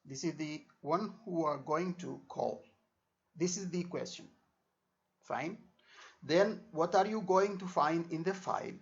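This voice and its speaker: Man tutoring, creaky voice, dull recording. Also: a little high, unhurried. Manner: repetitive, checks understanding with short tags. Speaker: exam tutor. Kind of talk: informal spoken lesson. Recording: dull lo-fi microphone sound.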